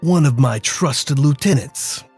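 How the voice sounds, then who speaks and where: A man playing a measured, dryly formal and menacing crime boss in an old-time detective radio drama. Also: mid-range voice, darkish tone, husky, faint music behind. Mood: cool, businesslike.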